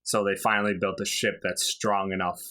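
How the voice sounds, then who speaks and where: A man with a fairly low, bright voice talks casually over game cutscenes. He explains things in loose, filler-filled asides, like a friend walking you through it. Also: flat delivery, moderate pace. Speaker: gaming video commentator.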